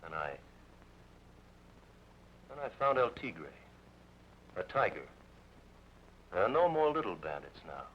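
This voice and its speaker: A smooth, guarded fixer from an old movie drama. His voice sits low and he argues his case in measured lines. The soundtrack is dull, with clear background noise. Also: very animated, exaggerated voice. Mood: defensive, insistent. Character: smooth, guarded businessman fixing deals for a bandit chief. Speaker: film actor. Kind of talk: old film drama dialogue.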